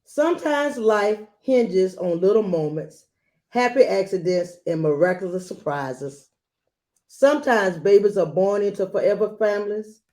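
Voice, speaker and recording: A woman reading a children's picture book aloud expressively and gently, adding small asides as she goes. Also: mid-range voice, lively intonation. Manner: gentle, unhurried, adding commentary to listeners.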